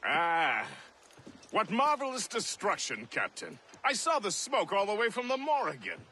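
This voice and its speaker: An actor playing a jovial, flamboyant gentleman with a theatrical, drawn-out delivery for a video game cutscene. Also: high-pitched, very animated, full character voice. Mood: gleeful. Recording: faint background noise.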